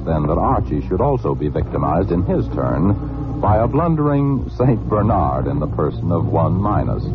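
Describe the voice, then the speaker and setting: A man delivering formal, florid, wryly humorous scripted narration as a 1940s radio announcer. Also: deep voice. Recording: muffled old radio recording. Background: music.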